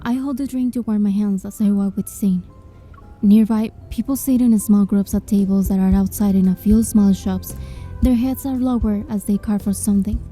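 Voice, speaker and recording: A woman's voice: mid-range voice, steady tone, moderate pace, mellow. A woman reading scripted first-person story narration as the heroine of a tale. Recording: music under the voice.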